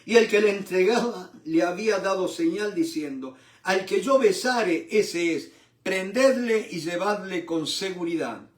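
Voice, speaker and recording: Older Argentine man preaching with high voice. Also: a little slow, roomy sound, recorded from a distance. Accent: Argentine Spanish. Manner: expressive, asks rhetorical questions, repeats "¿no es cierto?". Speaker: Bible teacher. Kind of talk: sermon-style Bible study.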